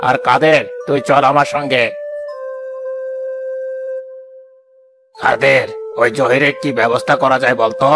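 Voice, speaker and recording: A domineering, miserly village boss from a cartoon folk tale, as a male actor plays him. The pitch is medium and the manner curt and dismissive, over clear background music. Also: little pitch movement, moderate pace, lightly acted. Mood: bossy. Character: stingy, bossy village moneylender boss. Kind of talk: Bengali cartoon fairy-tale voice acting. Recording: music behind the voice.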